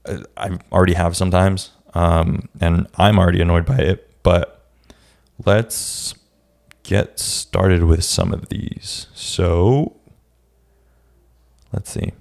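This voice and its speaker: A man hosting a casual solo podcast, his voice a bit raspy today, rambling and often restarting his sentences. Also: sits low, steady tone, slow pace, long pauses, a little mellow. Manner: rambling, restarts often, speaks in scattered bursts. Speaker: podcast host. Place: room at home with a street-facing window.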